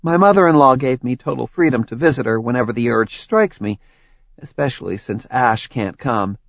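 A male narrator voicing a cheerful, gently teasing young woman with a light, dryly sarcastic manner. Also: medium pitch, moderate pace, vocal fry, no echo, close to an everyday voice. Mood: chatty. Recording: dry, slightly dull audiobook recording.

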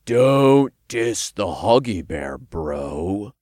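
A man's voice: medium pitch, very animated, a little slow, no room sound, studio quality, voice pushed up. A man voicing a brash, theatrical, self-important imp in an audiobook story. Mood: indignant. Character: cocky, slangy male imp, self-important sidekick.

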